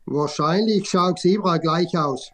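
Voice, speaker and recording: A man's voice: fairly high pitch, measured pace, a crowd in the background, close to an everyday voice. A man reading a curious child from a children's radio play in broad Tyrolean dialect. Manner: broad dialect, hard to understand.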